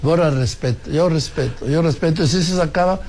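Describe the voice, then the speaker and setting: A man's voice: a little high, fairly quick, darkish tone. An older man with a Mexican accent, hosting a conversational interview. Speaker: interviewer, host.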